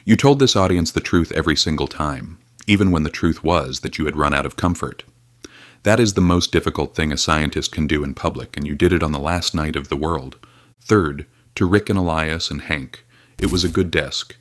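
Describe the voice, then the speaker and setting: An actor playing a dignified correspondent in an audio drama, giving a measured, formal, resolute farewell report. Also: male voice, rumbling low, fairly bright tone, no room sound. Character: dignified male correspondent saying final farewell at world's end.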